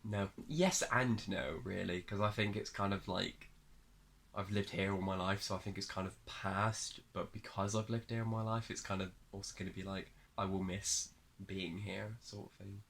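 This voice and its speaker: A young man who is ill, chatting casually in his bedroom, hesitant and rambling and trailing off quietly. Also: sits low, steady tone, normal speed, creaky, a little hiss or hum. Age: young adult. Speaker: interviewee. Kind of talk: casual chat.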